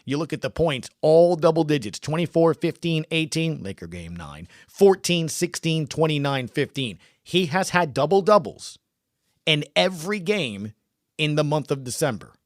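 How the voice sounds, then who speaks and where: Animated male sports podcast host. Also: a little high, normal speed, no echo, clean studio sound. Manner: emphatic, punchy delivery with rhetorical questions. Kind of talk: animated sports talk, recapping stats.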